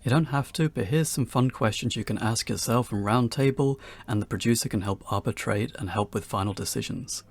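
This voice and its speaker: A man's voice: medium pitch, brisk, few pauses, husky. A man reading a scripted instructional talk for beginners as its presenter.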